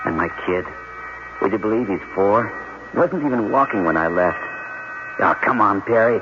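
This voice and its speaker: A man's voice: on the low side, animated, normal speed, lightly acted. A very noisy, muffled vintage radio recording features a homesick astronaut acted by a man. He is an eager scientist with widely moving intonation, played in radio drama style. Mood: wistful, eager. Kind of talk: old-time radio drama acting.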